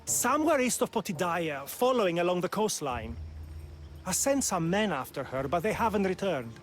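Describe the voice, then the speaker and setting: An actor playing a brusque, self-important village magistrate in a video game set in ancient Greece, haughty, scornful and threatening. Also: male voice, sits high, sing-song, moderate pace. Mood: defensive, curt. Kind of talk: dramatic video game acting. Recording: game cutscene with music behind.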